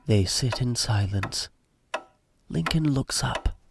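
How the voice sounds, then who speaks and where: A man narrating a scripted audio drama in short, measured sentences. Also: mid-range voice, expressive, vocal fry, noisy background. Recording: music behind narration.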